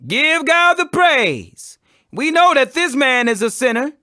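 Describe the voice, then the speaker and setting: Man acting a stern, forceful Pharisee. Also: very high-pitched, sing-song, unhurried, no room sound, studio quality, voice pushed up, full character voice. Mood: demanding. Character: stern, accusing Pharisees, proud religious elders questioning. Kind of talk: dramatic scripture reading.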